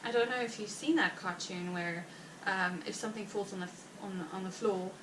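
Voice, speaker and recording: A woman's voice: medium pitch, fairly even intonation. Chatty Afrikaans-accented woman, roomy distant sound. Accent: South African Afrikaans. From South Africa. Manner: chatty and rambling, with frequent fillers and quick laughs. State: heavily pregnant. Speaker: vlogger. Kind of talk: casual chat to viewers. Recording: roomy, distant mic with background noise.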